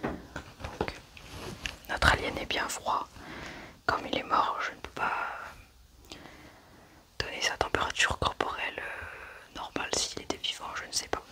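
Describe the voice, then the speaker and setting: Hushed whispering ASMR medical examiner. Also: unhurried, long pauses. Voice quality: soft unvoiced whisper. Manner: calm, methodical, step-by-step narrating.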